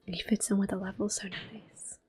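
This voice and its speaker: A woman giving gentle, soft-spoken ASMR gameplay commentary in a breathy whisper. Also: mid-range voice, level tone. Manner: gentle, unhurried. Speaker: gameplay host. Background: soft game music.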